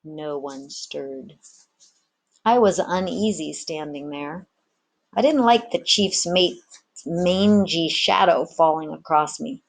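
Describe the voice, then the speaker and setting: A woman's voice: sits low, takes it slowly, vocal fry. A woman narrating a storybook as a teacher reading aloud.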